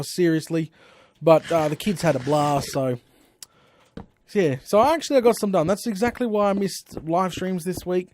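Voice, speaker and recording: Australian man, gaming streamer, rambling casual chat, animated storyteller, slightly high voice. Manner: chatty, animated storytelling with frequent slang exclamations.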